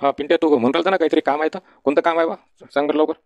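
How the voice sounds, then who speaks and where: Cartoon casting: obliging young village hero male performer, Varhadi Marathi accent, rapid delivery, slightly muffled sound. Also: a little high, steady tone, no room sound, lightly acted. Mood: concerned. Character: generous, easygoing young village do-gooder. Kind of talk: comedy cartoon dialogue. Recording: dull, lo-fi sound.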